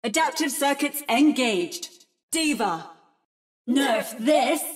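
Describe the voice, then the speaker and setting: A woman's voice: high voice, lively intonation, very slow, faint music behind, full character voice. A woman playing an adaptive female robot in a video game, with a precise, synthetic, theatrical delivery that mimics other fighters. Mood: precise, robotic. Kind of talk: video game character voice lines.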